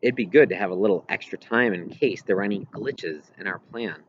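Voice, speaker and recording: Low-voiced man reading a story, muffled and noisy. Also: brisk. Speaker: audiobook reader. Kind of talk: scripted first-person story narration. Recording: muffled, clearly noisy home recording.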